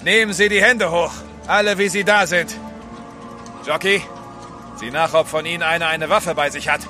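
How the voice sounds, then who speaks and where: An actor playing a smug, menacing mutineer in an audio drama, with a mocking, taunting manner. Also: male voice, on the high side, steady tone, normal speed, music in the background, lightly acted. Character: smug, menacing male mutineer seizing a ship. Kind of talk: German radio play acting.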